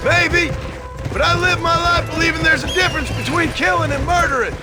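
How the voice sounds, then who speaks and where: An actor playing a gruff, weary former outlaw and rancher father in a Western game, with an American accent and a blunt, folksy drawl. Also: very high-pitched, steady tone, fairly quick. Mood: weary, reflective. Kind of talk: video game cutscene and dialogue acting. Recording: game music behind.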